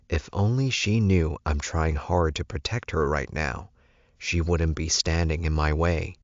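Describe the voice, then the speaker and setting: Male audiobook narrator for first-person fiction: deep pitch, very dry, slightly dull recording. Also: no echo.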